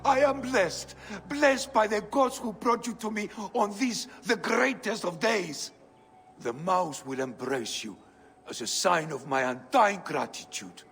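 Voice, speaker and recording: A man's voice: high voice, expressive, measured pace, fairly bright tone, big-room sound, slight background noise, full character voice. An actor playing a flamboyant, fawning man who gushes grand gratitude in a video game. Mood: gushing gratitude.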